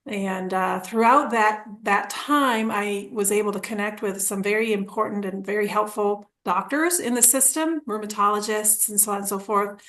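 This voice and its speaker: A woman's voice: medium pitch, normal speed, few pauses, a bit bright. A woman in her forties from Argentina, a podcast guest telling her story in a rambling, casual way. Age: 40s. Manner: rambling, restarts, acts out quoted dialogue with laughs.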